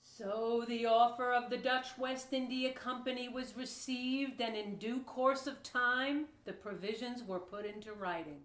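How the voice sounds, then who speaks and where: A woman's voice: a little high, fairly even intonation, unhurried, few pauses, fairly bright tone. A woman giving scripted historical narration as the narrator of an audio play. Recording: roomy, echoing sound.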